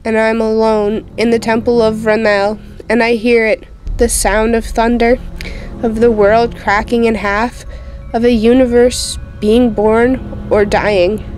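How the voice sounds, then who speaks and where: A frightened young witch girl, played by an actress recounts her doom in a highish voice. She narrates with youthful drama, as in a radio play, over a music bed. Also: little pitch movement, measured pace, darkish tone, close to an everyday voice. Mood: awed despair. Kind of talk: first-person narration in an audio drama.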